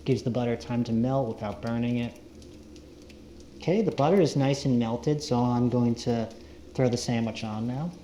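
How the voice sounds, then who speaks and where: A man's voice: medium pitch, normal speed, dark tone. A man talking casually and unhurriedly, as if narrating his own camping and cooking vlog. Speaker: found-footage vlogger, narrator. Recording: roomy echo, faint background noise.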